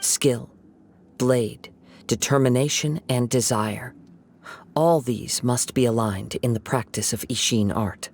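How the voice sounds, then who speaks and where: An actor voicing a calm, measured young male wandering samurai who speaks in a reflective way. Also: mid-range voice, a little hiss or hum.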